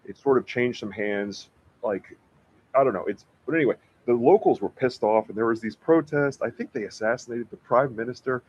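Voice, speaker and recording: A man chatting casually about history on a podcast, rambling and slangy, with a husky voice. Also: medium pitch, normal speed, darkish tone. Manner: rambling, slangy, filler-heavy with frequent "like". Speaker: podcast co-host discussing books. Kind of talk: casual chat. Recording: dull, lo-fi audio.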